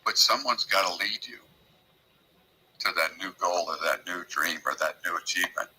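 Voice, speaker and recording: A man's voice: low voice, moderate pace, pushed and bright, husky, rough recording. A man joining a livestream as a guest, giving earnest motivational commentary and telling stories with many ums and uhs. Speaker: guest participant.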